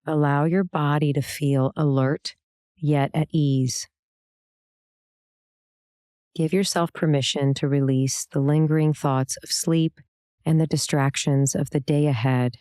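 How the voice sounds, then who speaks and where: A woman leading a guided meditation, giving calm, gentle, soothing instructions with pauses between phrases. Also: deep voice, clear, resonant tone, dry recording, studio quality. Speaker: meditation guide, yoga teacher.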